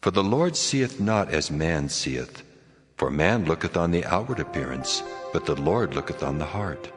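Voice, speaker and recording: Very deep, echoing voice of God. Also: male voice, sing-song, normal speed. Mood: calm authority. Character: the Lord God, solemn, commanding male deity. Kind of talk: dramatized scripture reading. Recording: long reverberant hall, music behind.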